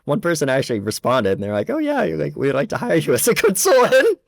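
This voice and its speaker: A man speaking as a podcast guest in casual chat, blunt and animated, with lots of filler words. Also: on the high side, quick pace, vocal fry, no room sound, clean studio sound. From not from the Czech Republic. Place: lives in Prague.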